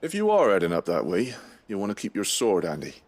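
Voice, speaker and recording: An actor playing a gruff, talkative mercenary gate guard in a video game, with a British accent and a blunt, colloquial, grumbling manner. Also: male voice, sits low, huge pitch swings, fast talker, full character voice. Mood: grudgingly helpful.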